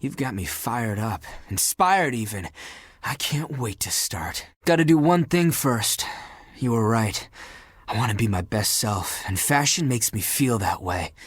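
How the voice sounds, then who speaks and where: Flamboyant, husky fashion diva, wildly expressive. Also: male voice, mid-range voice, no echo, studio quality. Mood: inspired, earnest. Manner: haughty, flamboyant, dramatic, teasing. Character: sassy, theatrical talking dirty laundry, aspiring fashion designer. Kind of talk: animated video game character acting.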